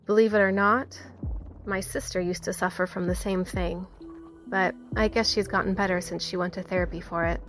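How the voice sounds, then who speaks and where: A woman playing a calm, pragmatic small-town police officer in an audio drama, speaking gently and reassuringly. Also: fairly low pitch, normal speed, natural voice. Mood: conversational. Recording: music under the voice.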